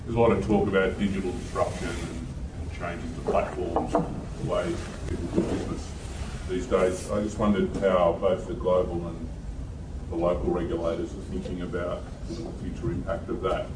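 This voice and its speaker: A man in the audience loudly and politely putting a question to the panel, trailing off toward the end. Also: sits low, level tone, moderate pace. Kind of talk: question from the floor. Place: conference hall. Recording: distant and noisy in an echoey hall.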